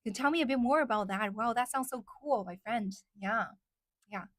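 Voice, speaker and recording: A young woman from China speaks in a somewhat high voice. She chats casually with her livestream viewers, repeating their questions out loud and moving between English and Mandarin. Her voice sounds dry and close, with almost no room sound. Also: moderate pace, no room sound. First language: Chinese. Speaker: livestream host. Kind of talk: casual livestream chat reading viewer comments.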